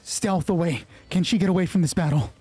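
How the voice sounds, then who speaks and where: A man improvising a solo tabletop roleplay as game master, excited, thinking aloud and swearing freely. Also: high-pitched, brisk. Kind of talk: improvised tabletop game narration with dice talk.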